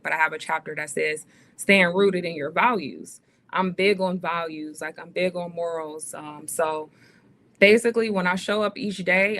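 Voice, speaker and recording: Thirties woman on a podcast, kinda low voice, earnest, lots of ums and likes. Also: fairly bright tone. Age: 30s. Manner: earnest, faith-driven, many "um"s and "like"s. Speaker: guest, actress and author. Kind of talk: casual podcast interview.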